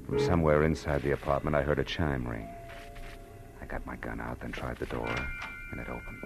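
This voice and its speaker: An American actor playing a cool, tough, wisecracking private eye in a 1940s radio drama, with terse, sardonic delivery. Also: male voice, a bass voice. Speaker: narrator and lead actor in radio detective series. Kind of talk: 1940s radio acting, first-person noir narration. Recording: vintage radio drama with music underneath.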